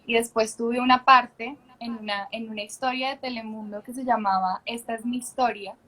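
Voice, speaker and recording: Chatty Colombian woman, high voice. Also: fairly even intonation, normal speed, sharp tone, rough recording. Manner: chatty and candid, laughs often, many restarts. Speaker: actress, guest. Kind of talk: casual interview chat. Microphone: video call.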